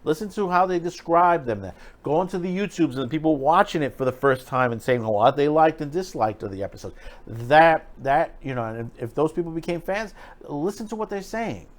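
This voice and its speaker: A man giving opinionated, off-the-cuff pop culture commentary as a video host, emphatic and critical, often repeating himself and restarting phrases. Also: on the high side. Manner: halting, repetitive, restarting phrases mid-thought.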